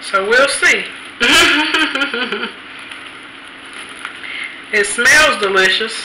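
A woman's voice: fairly high pitch, expressive, extremely slow, reverberant, noisy background. A woman vlogger talking casually to camera while she cooks at home, chatty and thinking aloud, with frequent little laughs. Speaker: cooking vlogger. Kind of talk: casual cooking chat to camera. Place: home kitchen, indoors.

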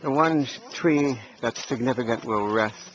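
A man's voice: mid-range voice, measured pace. An older man filming a group hike outdoors and making dry, understated remarks as he walks. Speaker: hiker filming the walk. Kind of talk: casual on-the-go video commentary. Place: outdoors on a rural hillside trail. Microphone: handheld camcorder mic. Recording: dull camcorder audio, outdoor noise.

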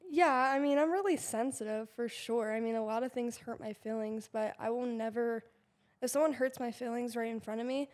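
A young woman guest on a casual podcast, speaking guardedly into a handheld mic in a bright living room and filling her answers with "I mean" and "like.". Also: a little high, a little fast. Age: young adult. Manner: guarded, soft-spoken, with frequent "I mean" and "like". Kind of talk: casual interview chat. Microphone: handheld mic with headphones.

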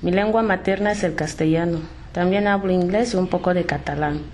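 Woman from Equatorial Guinea, slightly low, calm student read; faint noise. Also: a little fast. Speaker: Catalan course student. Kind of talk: reading a short self-introduction.

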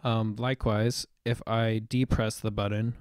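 A young man explaining software setup step by step in a screen-recorded tutorial voice-over, with the odd "um". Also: lowish voice, little pitch movement, a little slow, clean tone, no echo. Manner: explanatory, step-by-step, with occasional fillers. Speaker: tutorial presenter.

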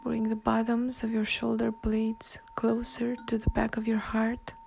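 A woman guiding a meditation in a soft, hushed, breathy way, leaving long pauses between instructions. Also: fairly high pitch, monotone. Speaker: meditation guide. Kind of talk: guided meditation narration. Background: soft meditation music.

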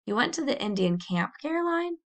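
A woman's voice: high-pitched, sing-song, fairly quick, pitched above the performer's own voice. A woman voicing a stern yet loving pioneer father in a storybook, with an authoritative, grave manner. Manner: authoritative, snapping, then grave.

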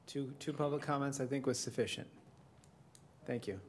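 Guy chairing a town board meeting, casual and chatty, mid pitch, bit of hiss. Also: moderate pace. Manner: casual, conversational, with restarts. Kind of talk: chairing a public hearing. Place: large council chamber.

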